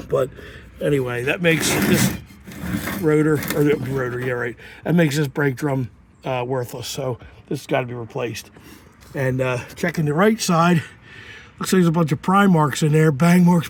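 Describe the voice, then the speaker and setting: A man's voice: a little high, moderate pace. A man talking casually through a home repair job outdoors, rambling with lots of fillers and restarts, sniffling from a lingering cold. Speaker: home mechanic. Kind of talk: casual garage how-to.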